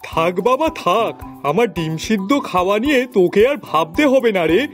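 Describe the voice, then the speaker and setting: Very high, swooping fussy cartoon master. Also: male voice, expressive, a little fast, soft background music. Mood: resigned, kindly. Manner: theatrical, complaining. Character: fussy, dependent, exasperated master of the house. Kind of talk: cartoon voice acting.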